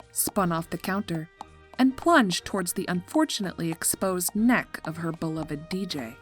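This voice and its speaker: A woman narrating scripted fiction in an expressive, measured, drily comic storytelling style. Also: medium pitch. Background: music.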